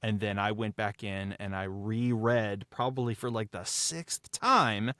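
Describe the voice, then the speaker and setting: A man's voice: lowish voice, unhurried, sharp tone, no room sound. Emphatic man telling behind-the-scenes stories, close dry sound. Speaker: podcast guest, audio drama writer, producer and actor. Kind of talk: reflective behind-the-scenes discussion.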